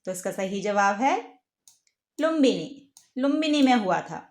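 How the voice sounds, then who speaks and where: A woman reading a scripted educational quiz in an instructional, question-and-answer manner. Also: sits high. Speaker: quiz presenter. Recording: roomy, echoing voice-over.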